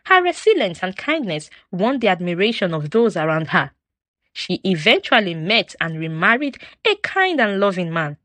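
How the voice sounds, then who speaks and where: A woman narrating a folktale from a script in a solemn, expressive, dramatic storytelling style. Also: medium pitch, no echo.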